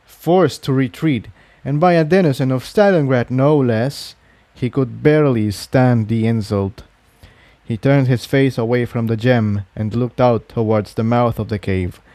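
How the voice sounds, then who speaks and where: A man's voice: mid-range voice, mellow, no echo. A man narrating a written adventure story as a reader of fan fiction.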